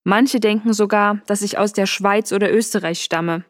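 A woman from Bavaria, with a Bavarian accent and a middle-pitched voice, speaks calmly and reflectively. Her voice sounds close and dry. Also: moderate pace, no echo. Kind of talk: scripted monologue.

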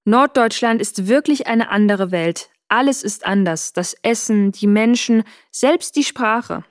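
A woman from Bavaria with a Bavarian accent, speaking a calm, reflective monologue. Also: a little high, a little slow, no echo, studio quality. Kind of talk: scripted monologue.

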